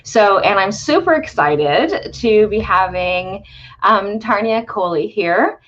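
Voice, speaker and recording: Woman host, bubbly, halting with ums, mid pitch, muffled lo-fi sound. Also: lively intonation, fairly bright tone. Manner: bubbly, many ums and uhs. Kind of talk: live-streamed interview.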